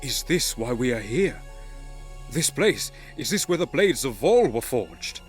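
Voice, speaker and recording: A man's voice: mid-range voice, big pitch swings, a little slow. Man acting a grave, wary elven warrior. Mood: questioning. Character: male Eldar warrior companion, wary, awestruck, questioning. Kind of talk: scripted audio drama acting. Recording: music under the voice. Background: music.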